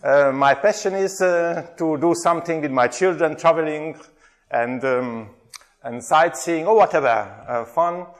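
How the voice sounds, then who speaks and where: A man's voice: a little high, measured pace. Middle-aged Hungarian man, slightly raised voice, running an interactive workshop with lots of ums. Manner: chatty, frequent hesitations, asks the audience questions. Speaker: workshop presenter, theology lecturer.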